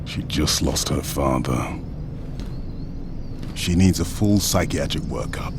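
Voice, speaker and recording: Deep, slow-spoken big soldier. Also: male voice, animated, creaky, background noise, heavily acted. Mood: soft, solemn. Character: big gentle armored soldier, male, protective, solemn. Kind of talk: video game cinematic acting.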